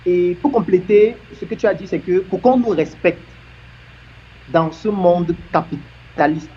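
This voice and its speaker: Man, high and mellow, measured and agreeable, dull lo-fi line. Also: a little hiss or hum. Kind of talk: casual discussion.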